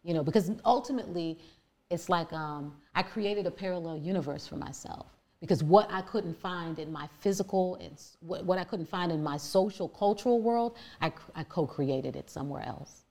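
A woman with a naturally deep, low-pitched voice speaks candidly about her own life. She talks emphatically and with conviction, stumbling into restarts and fillers as her thoughts rush ahead. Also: moderate pace, a little mellow. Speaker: guest. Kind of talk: candid personal storytelling.

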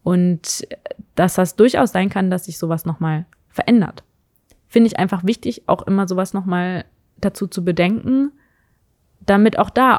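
A woman's voice: lowish voice, darkish tone, no room sound, clean studio sound. A young woman casually talking through her views on relationships, thinking aloud with frequent hesitations and restarts. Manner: thoughtful, hesitant, many fillers and restarts. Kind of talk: casual reflective monologue.

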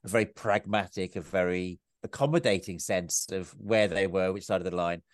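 Low voiced guy, author on a podcast, stumbles and repeats himself a lot, dry sound. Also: normal speed, no echo. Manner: repeats words often, hesitant starts. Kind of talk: reflective conversation.

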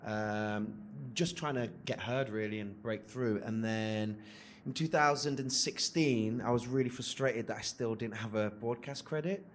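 A man's voice: mid-range voice, unhurried, a little hiss or hum. A man with a mid-range voice recounts his start on a live panel, wry and matter-of-fact. A faint room ambience runs underneath. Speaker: panel guest, writer.

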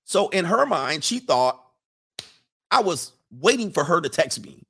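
A man's voice: a little high, very animated, measured pace, bright, forceful tone, husky. A man hosting a livestream, rambling casually, reading chat comments aloud and laughing often. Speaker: livestream host.

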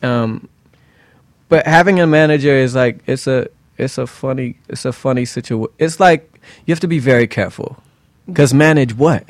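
A man's voice: mid-range voice, moderate pace, no echo. A man speaking as a guest singer in a conversational interview, giving advice emphatically with rhetorical questions and repeated phrases.

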